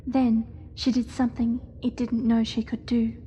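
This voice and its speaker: A woman voicing a devoted young spider hatchling in a horror audio drama, telling its story in a hushed, measured, eerily innocent way. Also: on the high side, little pitch movement, slight background noise, close to an everyday voice. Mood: hushed, reverent.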